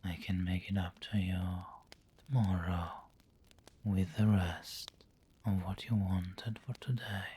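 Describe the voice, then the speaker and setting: Man playing a slow, whispering, low vampire lord. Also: fairly even intonation, warm tone, exaggerated voice. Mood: firm, coaxing. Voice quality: breathy, whispery. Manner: slow, genteel, coaxing endearments. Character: refined, doting male vampire lord, possessive and indulgent. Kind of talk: ASMR roleplay.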